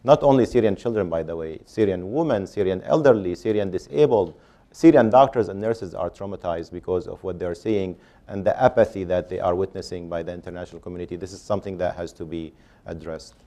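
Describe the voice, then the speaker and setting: Man, panelist, low voice, mellow tone, halting delivery, lots of fillers. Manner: halting, with many fillers and restarts. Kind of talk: answering audience questions.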